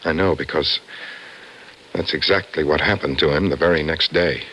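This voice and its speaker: A man's voice: extremely low pitch, normal speed, sharp tone, husky, full character voice. An actor playing an anxious, earnest office man haunted by his dreams, in old-time radio drama style. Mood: brooding, earnest. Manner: earnest, brooding. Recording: noisy vintage radio recording.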